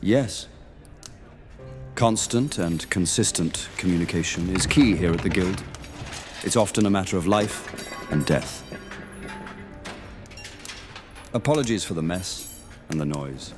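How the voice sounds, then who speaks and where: A man acts out a monster-hunting knight with a deep voice, welcoming and earnest. His speech is formal and old-fashioned, as in a fantasy radio play. It rings in a long reverb over a music bed. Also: lively intonation, unhurried. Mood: earnest, informative. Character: welcoming, earnest male knight monster hunter, guild guide. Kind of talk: immersive fantasy roleplay audio drama acting.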